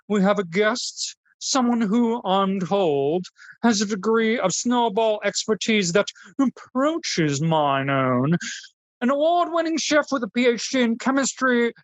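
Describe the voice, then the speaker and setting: Pompous aristocratic connoisseur played by an actor for comedy radio: florid, haughty, very animated delivery, dry studio sound. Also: mid-range voice, dry recording. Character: pompous aristocratic snobbish New Orleans snowball connoisseur host. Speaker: host of a food radio show. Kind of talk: parody radio show monologue.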